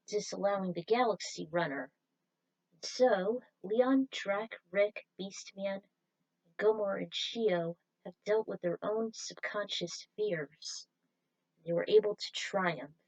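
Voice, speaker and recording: A woman narrating and recapping a fan-written story in a casual, chatty way, pausing to correct herself. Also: lowish voice, vocal fry, low-quality recording. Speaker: fan fiction reader and narrator.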